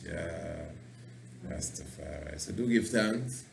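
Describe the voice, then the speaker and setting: A man's voice: a bass voice, wildly swooping pitch, slow, vocal fry, a little hiss or hum. A man, a priest hosting a radio talk show, preaching in a passionate, rambling way and playing with words. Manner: passionate, rambling, fond of wordplay. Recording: roomy echo.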